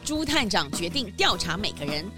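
A woman's voice: mid-range voice, very animated, normal speed, music in the background. A woman narrating a scripted children's mystery story.

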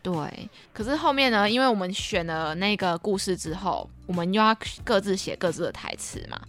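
A woman's voice: medium pitch, moderate pace, soft background music. A young woman with a Taiwanese Mandarin accent co-hosts a casual podcast chat, telling stories and acting out what others said. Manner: animated, acts out children's remarks. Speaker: podcast co-host and camp counselor.

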